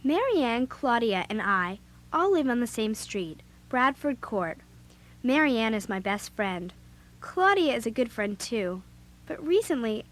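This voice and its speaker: High, animated American girl. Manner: animated, blunt. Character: outspoken, eager seventh-grade girl telling her story. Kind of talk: audio drama narration and dialogue for children.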